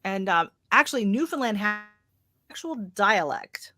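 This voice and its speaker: An American woman with a slight Canadian lilt chats casually as a livestream host, telling stories in an animated way. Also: medium pitch, moderate pace, soft background music. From Oregon, USA.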